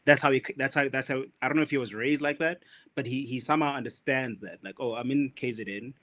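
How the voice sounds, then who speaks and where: A man co-hosting a casual podcast chat, playful and thinking out loud as he talks. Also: mid-range voice, fast. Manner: playful, hedging and thinking aloud. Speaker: podcast co-host.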